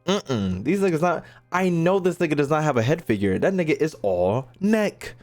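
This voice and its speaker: A man's voice: on the high side, very animated, moderate pace, darkish tone. A man streaming a game with casual, slangy reaction commentary, swearing freely and heckling in mock outrage. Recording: faint game music behind the voice.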